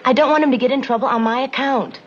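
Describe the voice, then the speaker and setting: A woman's voice: sits high, fairly even intonation, fast talker, close to an everyday voice. A young actress playing a principled, anxious frontier woman in an old TV western, speaking earnestly and insistently. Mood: urgent pleading. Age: young adult. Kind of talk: 1960s TV western acting. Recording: dull old TV soundtrack, loud music.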